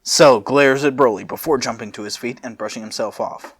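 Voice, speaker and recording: A man's voice: mid-range voice, brisk, breathy edge. A man hosting a casual comedic story reading in a jokey, informal manner. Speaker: fan fiction reader and host.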